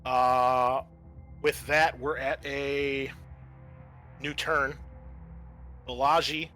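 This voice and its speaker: A man's voice: medium pitch, little pitch movement, drawn-out words, pushed and bright, faint music behind. A man narrating a tabletop roleplaying game as its game master, addressing the players.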